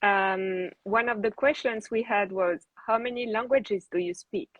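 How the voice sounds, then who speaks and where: A woman hosting a live online interview, chatty and quick to laugh, speaking on a video call. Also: medium pitch, no room sound, highs cut off. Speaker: host.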